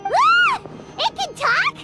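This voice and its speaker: A woman voicing a small, childlike fairy companion in a video game, squeaky, excitable and easily flustered. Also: slow pace, over loud music, exaggerated voice. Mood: shocked, indignant. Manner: refers to self in third person, flustered. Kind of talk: English video game voice acting.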